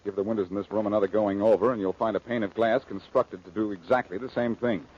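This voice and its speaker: Cocky low-voiced 1940s radio detective. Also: male voice, steady tone, quick pace, slightly rough. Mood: smug, teasing. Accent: American. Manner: glib, teasing, self-assured. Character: cocky, wisecracking male amateur detective, ex-crook. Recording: muffled, noisy 1940s radio recording.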